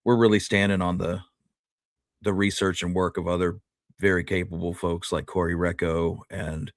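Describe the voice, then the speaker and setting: Male co-host, deep voice, conversational history talk aimed at viewers, dry close sound. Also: little pitch movement, normal speed, no room sound. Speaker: podcast co-host. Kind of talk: casual history discussion with live viewers.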